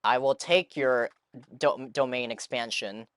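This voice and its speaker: A man's voice: mid-range voice, a little slow, fairly bright tone, clean tone, dry recording, clean studio sound. A man streaming a game, giving casual live reaction commentary and thinking aloud in a rambling way.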